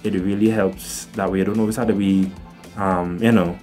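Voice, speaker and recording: A man's voice: deep voice, little pitch movement, moderate pace, a little mellow, faint music behind. A young man with a Trinidad and Tobago accent, chatting to camera about his fishing gear and often checking in with "right?", recorded indoors on a close camera. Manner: chatty, explains with "right?" tags and fillers. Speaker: fishing vlogger. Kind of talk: casual gear walkthrough to camera. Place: indoors by wooden doors.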